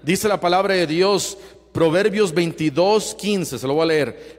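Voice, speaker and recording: Pastor preaching in an echoing hall. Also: male voice, on the high side. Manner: earnest preaching, rising emphatic bursts and quiet asides. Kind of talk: sermon. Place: church sanctuary. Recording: long hall reverb.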